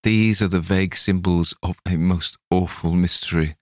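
A man's voice: low voice, steady tone, normal speed, dry recording, clean studio sound, close to an everyday voice. A man reading aloud, voicing a hysterical, terrified man who shrieks and trembles about what he has seen. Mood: hushed dread. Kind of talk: audiobook character voice.

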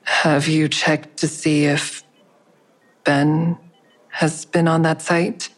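A woman's voice: low-pitched, little pitch movement, slow pace, soft background music. An actress playing a concerned, probing woman in audio drama dialogue, with an earnest, questioning manner. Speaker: lead actor in an audio drama.

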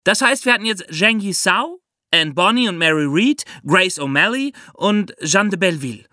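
Bright, animated woman co-host. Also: mid-range voice, moderate pace, dry recording, clean studio sound. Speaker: podcast co-host.

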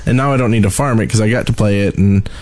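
A man's voice: on the low side, quick pace, darkish tone. A man co-hosting a gaming podcast in a casual, conversational style. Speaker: podcast co-host.

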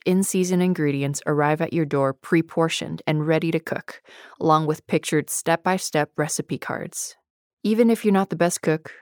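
Lady doing a podcast sponsor read, lowish voice, conversational script, super dry close mic. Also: no echo. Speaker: podcast ad reader.

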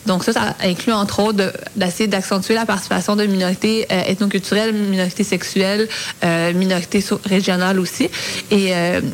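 A woman's voice: mid-range voice, flat delivery, a little fast, a little hiss or hum. A woman interviewing two guests, asking long, thoughtful questions.

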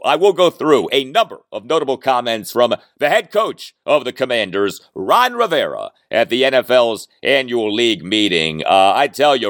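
A man's voice: mid-range voice, fairly bright tone, dry recording, studio quality. A man hosting a solo sports podcast, talking emphatically with frequent fillers while recovering from a lost voice.

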